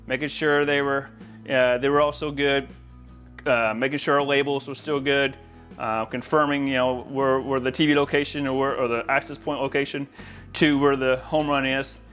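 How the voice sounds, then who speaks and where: A man's voice: medium pitch, little pitch movement, moderate pace, a bit bright, soft background music. An American man in his forties giving an enthusiastic, informal walk-through to camera as a home installer. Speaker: home audio-video installer giving a tour. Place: inside a large, mostly empty house.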